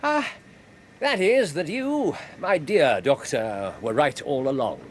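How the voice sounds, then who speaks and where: An actor playing a brisk, clever, self-assured Victorian English detective in a radio drama, precise, theatrical and wry. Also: male voice, fairly high pitch, expressive, a little hiss or hum. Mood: wry candour.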